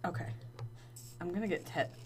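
A young woman co-hosting a casual podcast, playful and quick to laugh while chatting with her friend. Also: slight background noise. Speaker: podcast co-host. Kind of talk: casual chat.

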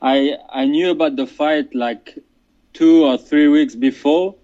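A man from France, a fighter answering interview questions in halting, casual speech full of restarts and fillers. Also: fairly high pitch, dark tone, dull audio. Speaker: MMA fighter.

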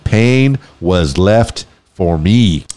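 A man's voice: animated, very slow, a little mellow. Hyped-up radio DJ man. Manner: playful showman, shifting pitch for emphasis. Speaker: podcast host and DJ. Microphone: studio mic.

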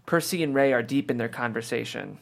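A man's voice: medium pitch, fairly quick, gravelly, slight background noise. A man reading the scene headings and action of a screenplay as scripted narration. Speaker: stage directions reader.

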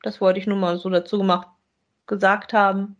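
A woman's voice: mid-range voice, flat delivery, brisk, highs cut off. A woman chatting casually as co-host of a podcast that reviews audio dramas.

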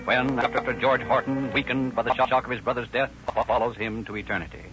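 A man's voice: medium pitch, steady tone, a little fast, lightly acted. A man playing the formal, melodramatic narrator of a 1930s radio crime drama. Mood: grave, dramatic. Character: grave, formal male crime-story narrator. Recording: muffled old-time radio recording, music.

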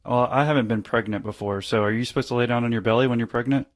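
Fast-talking male interviewer. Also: medium pitch, steady tone. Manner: curious, casual questioning. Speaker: podcast host, interviewer. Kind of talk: conversational interview.

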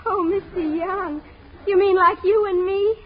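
Sweet, dramatic girlfriend, 1940s radio comedy. Very high, gushy voice, muffled vintage sound. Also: fairly even intonation, measured pace. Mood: coy. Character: sweet gushing, romantic, easily exasperated young girlfriend. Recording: dull 1940s radio broadcast.

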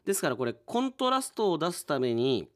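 A male radio announcer presenting in a chatty, playful, animated conversational way. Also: fairly high pitch, fairly quick, no room sound. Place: radio studio. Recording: studio voice over faint music.

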